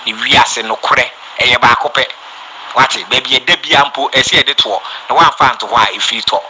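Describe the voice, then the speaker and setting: A man's voice: mid-range voice, normal speed, bright, forceful tone, slight background noise, rough recording. A Ghanaian man giving an impassioned, scolding political rant, emphatic and repeating his words.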